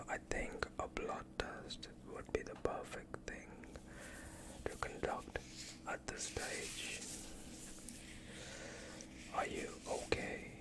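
A young man acts out a male vampire doctor in an intimate ASMR roleplay. He speaks entirely in a breathy whisper, drawing the words out extremely slowly. His bedside manner is soothing and reassuring, with a sinister hunger for blood beneath it. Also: close to an everyday voice. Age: young adult. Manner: slow, hushed, reassuring, close to the listener. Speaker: ASMR performer.

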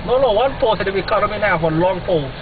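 A man's voice: sits high, animated. A man with a Hawaiian Pidgin accent on a phone call from a car, ordering fencing supplies and restarting his words as he explains. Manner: halting, repeats words while explaining. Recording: lo-fi camcorder audio, loud road noise.

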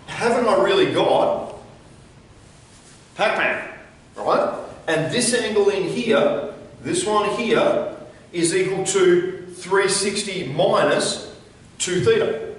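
A man's voice: high-pitched, lively intonation, slow, long pauses. A man explains a maths problem step by step as a teacher, informally, often checking in with short tag questions. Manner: informal, checking in with "right?" and "okay?". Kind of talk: explaining a worked problem at a whiteboard. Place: indoor classroom with whiteboard. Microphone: camera a few metres away. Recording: very echoey room, distant mic.

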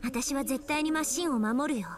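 A woman's voice: high-pitched, quick pace, lightly acted. Woman voicing a terse young soldier. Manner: clipped, matter-of-fact. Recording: game music behind.

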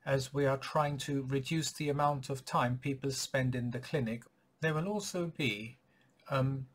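Husky-voiced man giving a polite announcement. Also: mid-range voice. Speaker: clinic practitioner addressing patients. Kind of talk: informational announcement to camera. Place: small home office or study, indoors.

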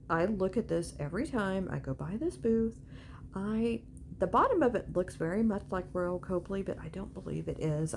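A woman's voice: mid-range voice, darkish tone. A woman giving a casual, chatty shopping vlog voice-over in an informal way. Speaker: vlogger and antiques reseller. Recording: clear background noise.

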